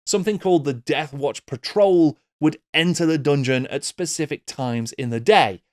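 A middle-aged man narrates a scripted video essay as its presenter. His voice sits a little high for a man. It is recorded close at a desk mic, with a dry sound. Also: moderate pace, dry recording. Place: small book-lined home studio. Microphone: desk microphone up close.

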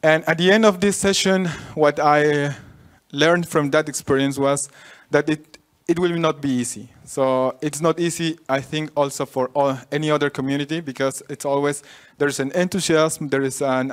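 A man's voice: a little high, fairly even intonation, normal speed. A young man from Peru, a native Quechua speaker, giving a conference talk with many fillers and restarts. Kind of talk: conference presentation. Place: conference room with audience.

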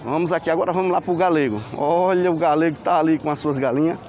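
A man's voice: a little high, fast, darkish tone, muffled recording. A man, touring an outdoor street market on camera and exclaiming over the stalls as he walks. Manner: enthusiastic, exclaims "que maravilha", points things out. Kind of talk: walking market tour vlog. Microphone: handheld camera mic, filming while walking. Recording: crowd noise behind.

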